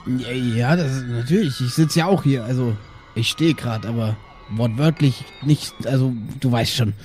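A man as a mellow, laid-back alien dad. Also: mid-range voice, moderate pace, faint music behind, close to an everyday voice. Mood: rambling. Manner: rambling, hesitant, laid-back. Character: lazy, dim, easygoing alien dad fond of food. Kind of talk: improvised audio drama acting.

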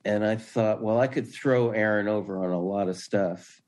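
An older man with a fairly low voice speaks as an interview guest. He tells anecdotes in a casual, conversational style. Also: fairly even intonation, moderate pace, a little mellow.

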